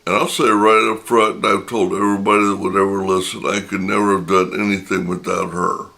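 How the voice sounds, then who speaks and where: An older man hosting his own channel, telling a personal story in a reflective, unhurried way, his voice a little raspy from talking. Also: low-pitched, little pitch movement, sharp tone. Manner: reflective and unhurried, many pauses. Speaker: channel host.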